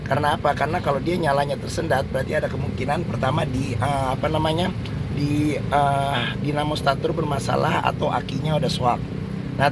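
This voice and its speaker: Hoarse man, fairly high voice, slow halting car walkaround, traffic noise behind. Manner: slow, halting delivery with many fillers. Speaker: used-car dealer host. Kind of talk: walkaround car review with buying tips.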